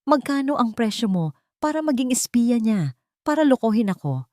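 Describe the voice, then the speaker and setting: A woman's voice: a little high, sing-song, moderate pace, darkish tone, dry recording, voice pushed up. A woman narrator plays a wounded young man in an audio romance. He is bitter and accusing, with a cold, cutting delivery in a very dry recording. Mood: hurt accusation.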